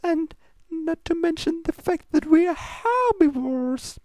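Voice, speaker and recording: A stern royal pony princess, as acted out by a fan fiction reader, handing down formal reproaches. The pitch sits raised and swings widely. The sound is close and dry. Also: expressive, takes it slowly, a little mellow, no room sound, heavily acted. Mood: indignant. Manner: pompous, formal, scolding. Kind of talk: fan fiction comedy reading.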